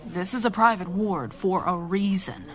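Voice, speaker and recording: An actress playing a stern, reluctant head nurse in a radio drama, formal and scolding, with an air of authority. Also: female voice, mid-range voice, moderate pace, a little hiss or hum, close to an everyday voice.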